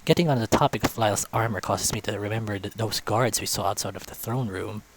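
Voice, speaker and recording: A man's voice: on the low side, fast talker, background noise. A man reading a visual novel aloud as narrator, in a storytelling style.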